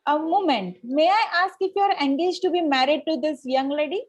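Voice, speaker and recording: A woman's voice: high voice, fairly quick, close to an everyday voice. A woman acting a haughty, indignant young Victorian lady of fashion, formal and precise, in an amateur table read of a stage comedy. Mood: possessive, cool.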